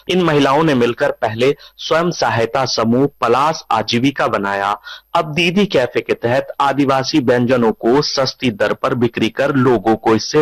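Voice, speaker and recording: A man's voice: medium pitch, no room sound, rough recording. Male radio correspondent, dry sound. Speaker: news correspondent. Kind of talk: scripted radio news report.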